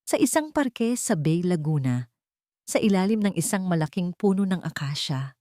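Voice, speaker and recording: A woman's voice: on the low side, very animated, moderate pace, mellow, no echo, studio quality. A woman with a Filipino accent reading a scripted dramatic story as its narrator.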